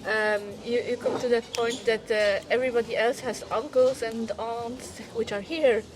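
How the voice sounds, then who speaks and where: A woman from Switzerland, a film director, talking in a casual interview, halting and restarting as she searches for words. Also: on the high side, fairly even intonation. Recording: crowd chatter behind.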